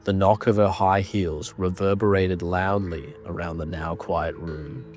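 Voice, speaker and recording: A man narrating a horror audio drama in a grave, deliberate, dramatic way, reading ornate scripted prose. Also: sits low, little pitch movement, a little mellow, faint music behind.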